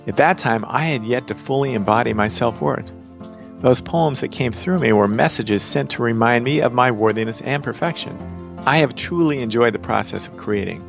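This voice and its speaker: A man's voice: mid-range voice, gravelly, lo-fi sound. A man hosting a podcast, speaking in reflective monologue and introducing guests. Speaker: podcast host.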